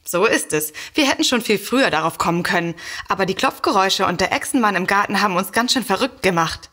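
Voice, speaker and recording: Young woman author, medium pitch. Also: expressive, quick pace, pushed and bright. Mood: scornful, relieved. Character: chatty young woman author, mildly scornful. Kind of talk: German comedy mystery audio drama acting.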